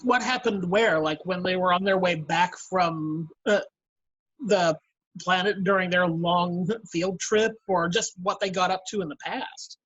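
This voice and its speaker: Woman, raspy edge, mid pitch, animated casual chat, dull dry call audio. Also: a little slow. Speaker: voice actor on a panel.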